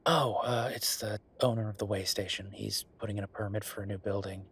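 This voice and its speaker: A man's voice: on the low side, steady tone, fairly quick, vocal fry, a bit bright, husky, full character voice. A man playing an affectionate, teasing werewolf bureaucrat in an intimate romantic audio roleplay, speaking conversationally with murmured asides. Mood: wry, confiding. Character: Viktor, affectionate teasing male werewolf bureaucrat, weary but playful.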